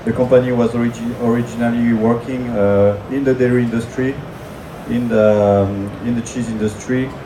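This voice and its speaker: A man's voice: mid-range voice, steady tone, normal speed. A man with a French accent giving a technical conference presentation, hesitant, full of filler sounds and restarts. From France. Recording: echoey room from far off, noisy.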